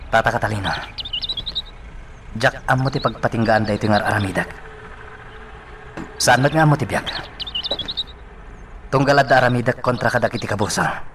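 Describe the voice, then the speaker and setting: A man's voice: mid-range voice, moderate pace, pauses often, natural voice. A man with an Ilocano accent playing an earnest young man on a secret mission in a radio drama. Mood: earnest, troubled. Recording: distant mic, noisy, birdsong behind.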